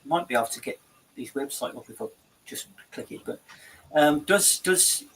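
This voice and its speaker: A man's voice: a little high, animated, moderate pace, fairly bright tone, a little hiss or hum, rough recording. A man hosting a show, chatting in a rambling, repetitive way about a market visit. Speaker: show host. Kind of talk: casual studio chat.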